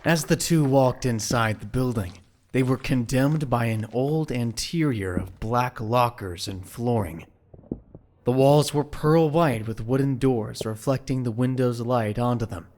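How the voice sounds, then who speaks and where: A man's voice: mid-range voice, a little slow, darkish tone. A man giving scripted, dramatic story narration for an audio drama.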